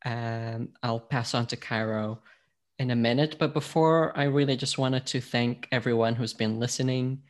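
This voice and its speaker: A man's voice: medium pitch, fairly even intonation, normal speed. A man from Portugal, a podcast host now being interviewed, talking casually with many fillers and restarts.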